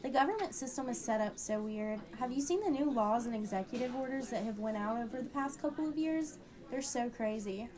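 Woman acting as excitable, curious young woman, higher-pitched. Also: brisk, a crowd in the background, close to an everyday voice. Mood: excited, gossipy. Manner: girlish slang, questioning. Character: excitable young woman, curious about how government works. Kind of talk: amateur scripted radio drama dialogue.

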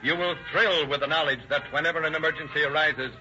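A man's voice: a little high, fairly even intonation, quick pace, pushed and bright, soft background music. A man announcing and narrating a 1930s radio show in a formal, declamatory, scripted style. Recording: muffled old radio broadcast.

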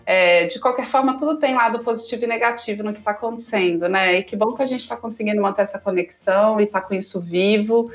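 A woman's voice: medium pitch, quick pace, few pauses, soft background music. A woman co-hosting a podcast, talking in a chatty way and often closing phrases with a tag question. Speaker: podcast co-host. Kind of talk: casual podcast chat.

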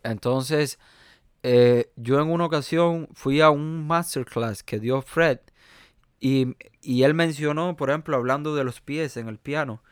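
Smooth-voiced Cuban pianist, halting and chatty. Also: male voice, medium pitch, dry recording, studio quality. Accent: Cuban Spanish. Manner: hesitant, many fillers and restarts. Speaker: jazz pianist guest. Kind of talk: conversational interview.

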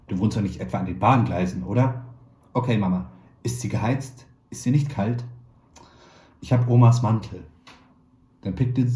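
A man reading a short story aloud in a calm, measured way, lightly voicing the dialogue. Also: on the low side, roomy sound. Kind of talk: literary reading.

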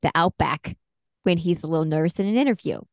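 Southern woman cohost, lowish voice, dry close sound, playful opinions. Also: fast, no echo. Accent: American Southern. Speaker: podcast co-host. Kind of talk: casual chat.

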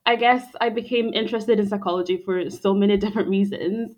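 Young woman podcast guest, medium pitch. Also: fairly even intonation, fairly quick, darkish tone. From born in Zimbabwe, grew up in Scotland. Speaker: podcast guest, psychology and counselling graduate. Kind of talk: reflective conversational interview answers.

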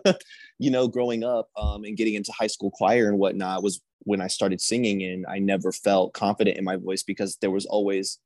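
Man, casual conversation, soft-spoken, self-deprecating, lowish voice, dry sound. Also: level tone, brisk, no echo. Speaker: singer guest.

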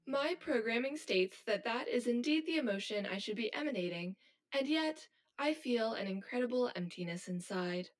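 A woman's voice: fairly high pitch, lively intonation, normal speed, natural voice. A woman acting as an anxious, hollow female robot in an audio drama, speaking in formal, stilted, literal phrases. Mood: flat, empty.

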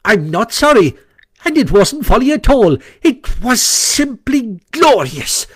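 A conceited storybook toad, played by a man, pitched far up high. He is impatient and gushing, emphatic in every claim and proudly unrepentant, with a dry, close sound. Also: big pitch swings, slow pace, husky, dry recording, exaggerated voice. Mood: stubborn, defiant.

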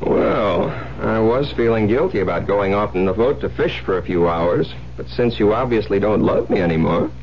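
A man playing a breezy, smooth-talking new husband in an old-time radio thriller, teasing and theatrical. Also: lowish voice, lively intonation, normal speed, few pauses. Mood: teasing, coaxing. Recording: muffled old radio recording with noise, hum.

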